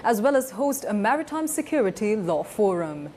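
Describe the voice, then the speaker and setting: A woman news anchor reading a script in a crisp formal manner. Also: medium pitch, big pitch swings, moderate pace, a little mellow, slight background noise. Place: TV news studio.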